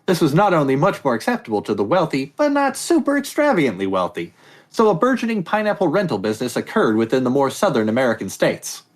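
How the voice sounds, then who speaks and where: A man hosts a podcast quiz round with an animated, swinging delivery. He reads the statements aloud and keeps breaking off into jokes and tangents. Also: on the high side, fast. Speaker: quiz host. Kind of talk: casual comedy podcast quiz.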